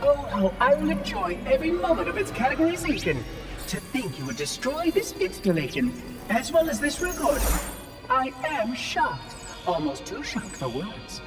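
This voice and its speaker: A fussy, coldly courteous robot caretaker, very high and sing-song, with a synthetic sheen on his voice. He sounds set back from the mic, over a loud game score. His politeness carries a quiet threat. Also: wildly swooping pitch, normal speed, recorded from a distance, music in the background, full character voice. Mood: mock-shocked. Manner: fussy, precise, chirpy, menacingly courteous. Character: prim, gleeful male robotic monitor, coldly polite.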